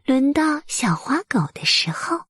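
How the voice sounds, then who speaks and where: A woman narrating a bedtime story for small children in a soft, breathy voice, with gentle, soothing and careful phrasing. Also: high voice, sing-song, a little slow, dry recording.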